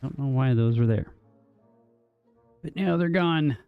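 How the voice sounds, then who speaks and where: A man's voice: medium pitch, unhurried, smooth, dry recording, phone-quality sound, soft background music. A man chatting casually with his viewers during a live game stream, rambling with fillers and restarts. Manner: rambling, answers chat with many ums and restarts. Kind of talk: casual chat with viewers while playing.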